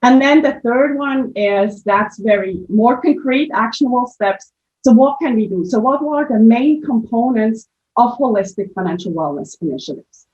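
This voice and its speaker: A woman's voice: fairly high pitch, expressive, normal speed, darkish tone. A woman from Switzerland with a Swiss accent, giving a methodical conference presentation on financial literacy over a video call. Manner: explanatory, methodical, with frequent restarts. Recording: muffled lo-fi video call audio.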